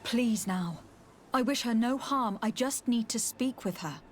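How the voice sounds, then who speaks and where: Woman playing a polite, higher-voiced adventurer. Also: measured pace, slight background noise, lightly acted. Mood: earnest. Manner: polite, formal phrasing. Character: courteous female diplomat adventurer, earnest and persistent. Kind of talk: video game dialogue acting.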